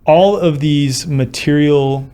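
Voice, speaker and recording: A man's voice: on the high side, takes it slowly, dark tone, slight background noise. A man hosting a podcast, talking in a reflective, conversational way and searching for his words. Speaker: podcast host.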